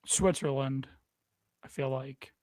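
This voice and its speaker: A young man co-hosting a casual hockey podcast, playful and quick to laugh. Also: mid-range voice, fairly even intonation, darkish tone, slight background noise. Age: young adult. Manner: playful, laughing easily, thinking aloud. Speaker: podcast co-host. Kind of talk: casual sports chat.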